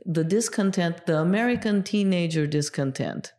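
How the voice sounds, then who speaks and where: A middle-aged woman, a native Japanese speaker from Japan, telling stories from her past in a relaxed interview, with many "yeah"s. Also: on the low side, moderate pace. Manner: reflective storyteller, lots of yeah fillers. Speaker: guest, sex educator. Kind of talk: casual interview conversation.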